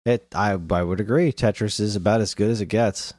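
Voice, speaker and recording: Podcast co-host: American man, deep voice, casual enthusiastic chat, very dry sound. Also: a little fast, a little mellow, dry recording. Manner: enthusiastic, informal slang.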